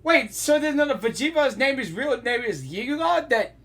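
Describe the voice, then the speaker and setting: A woman's voice: high-pitched, lively intonation, moderate pace, a bit bright. A woman giving casual reaction commentary on a video game as she plays, often repeating her phrases. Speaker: reaction streamer.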